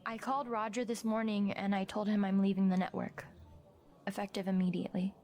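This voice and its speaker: Woman acting steady determined young reporter lady, medium pitch, natural radio play talk. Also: a little fast, close to an everyday voice. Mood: composed, guarded. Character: composed, stubborn young woman, investigative journalist. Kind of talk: naturalistic audio drama dialogue.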